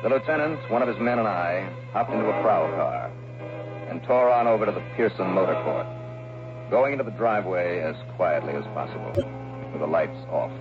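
A man's voice: deep voice, animated, a little fast, music in the background. An American man playing a cool, wry, self-assured private investigator in a 1960s radio drama. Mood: wry, confident. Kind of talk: 1960s radio drama acting and first-person narration. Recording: muffled, noisy old radio broadcast recording.

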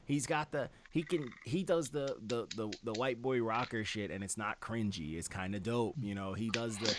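A man's voice: fairly low pitch, normal speed, noisy background. A man in a casual album review chat, talking as a host in a slangy, profane, enthusiastic way. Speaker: music review show host.

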